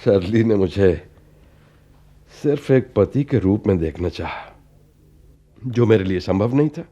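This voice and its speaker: An actor in a radio play playing a middle-aged, avuncular and self-absorbed theatre director, deliberate and mixing English words into his speech. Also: fairly low pitch, animated, mellow, muffled recording. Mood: resigned, defensive.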